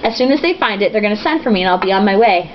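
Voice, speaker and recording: A woman's voice: medium pitch, animated, racing, lightly acted. A woman reading the part of a cocky, breezy newsboy who puts on a brave front. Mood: confident. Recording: dull lo-fi with faint hiss.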